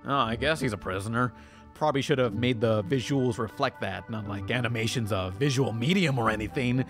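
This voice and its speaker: A man delivers a scripted review in a sarcastic manner. His voice sits at a middling pitch, with faint music underneath. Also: a little fast. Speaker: cartoon reviewer and host.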